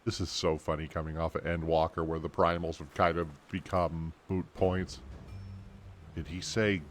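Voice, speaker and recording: Low-voiced man streaming a game. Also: little pitch movement, normal speed, a little hiss or hum. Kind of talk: casual game commentary.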